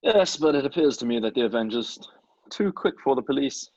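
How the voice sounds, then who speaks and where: A man's voice: mid-range voice, lively intonation, brisk, a little mellow, no echo, muffled recording, natural voice. Casting: male actor as soothing, earnest husband for a radio play, medium register, dry recording. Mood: wistful. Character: gentle, wistful husband in old radio mystery.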